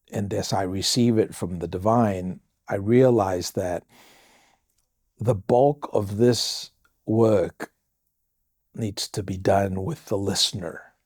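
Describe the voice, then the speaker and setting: Slow, low-voiced Samoan pastor. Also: male voice, darkish tone, no echo, studio quality. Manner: slow, measured, with thoughtful pauses. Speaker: pastor answering listener questions. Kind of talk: reflective podcast talk.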